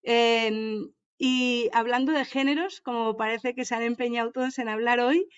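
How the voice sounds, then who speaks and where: A woman's voice: a little high, fairly even intonation, normal speed, smooth. A woman from Madrid with a Madrid Spanish accent, speaking thoughtfully and hesitantly in an academic discussion over a video call. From Madrid, Spain. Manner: thoughtful, hesitant, searches for words. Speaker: seminar participant. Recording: muffled video call audio.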